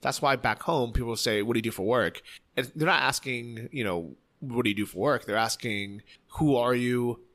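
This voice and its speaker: A man's voice: medium pitch, normal speed, fairly bright tone. A man from the United States, hosting a casual conversation and telling stories bluntly and energetically, acting out quotes as he goes.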